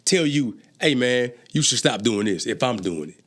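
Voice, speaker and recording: A man's voice: mid-range voice, moderate pace. A man, a rapper and entrepreneur, talking as the guest in a casual conversation, telling stories with profane street slang and stammering through restarts. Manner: stammers on restarts when excited.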